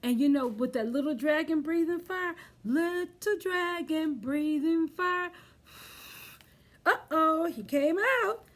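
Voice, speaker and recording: Lady doing kids storytime, high voice, really slow, encouraging and kinda sing-songy. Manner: encouraging, sing-song, addressing young children. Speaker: children's library storytime host. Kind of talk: children's storytime with rhymes, songs and craft talk.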